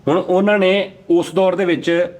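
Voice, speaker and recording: A man's voice: high-pitched, flat delivery, moderate pace, darkish tone. A man with a Punjabi accent hosting a casual comedic chat, arguing insistently. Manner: argumentative, insistent. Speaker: talk show host.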